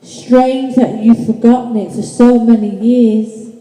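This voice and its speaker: A woman playing a solemn ghostly spirit guide in an amateur radio play, calm and measured. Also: fairly high pitch. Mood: solemn, commanding. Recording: echoey reverberant hall.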